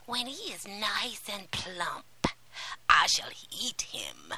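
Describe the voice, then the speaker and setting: A woman's voice: medium pitch, huge pitch swings, slow pace, a crowd in the background, exaggerated voice, low-quality recording. A woman voicing a cruel, greedy old witch from a storybook, with a scolding, menacing manner. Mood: gloating. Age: older. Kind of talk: storybook character acting.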